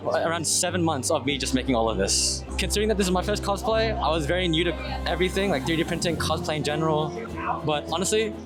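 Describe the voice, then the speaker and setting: A man in his late teens from Australia speaks in a slightly raised register. He explains things casually as an interviewee. Music is clearly audible behind him. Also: on the high side, fast. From New South Wales, Australia. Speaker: cosplayer being interviewed.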